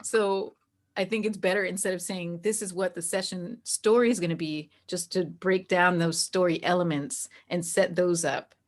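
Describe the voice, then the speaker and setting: A woman with a fairly low voice talks casually as the guest in an interview. She works through her points out loud, often acting out example thoughts as she goes. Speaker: interview guest, tabletop game master. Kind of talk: casual, conversational interview talk.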